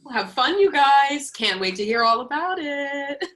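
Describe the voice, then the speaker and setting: Animated, high-voiced woman acting a chatty roommate. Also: unhurried, full character voice. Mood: friendly. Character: chatty, naive young female college roommate, opinionated. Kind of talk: virtual play reading, naturalistic dialogue.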